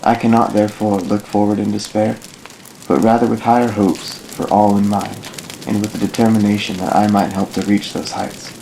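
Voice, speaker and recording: A man as a low-voiced, solemn elven ranger. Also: flat delivery, creaky. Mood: solemn, reflective. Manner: solemn, measured, formal. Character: thoughtful dark elf ranger recounting his life, solemn idealist. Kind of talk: fan audio drama narration, literary monologue. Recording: noticeably noisy amateur recording.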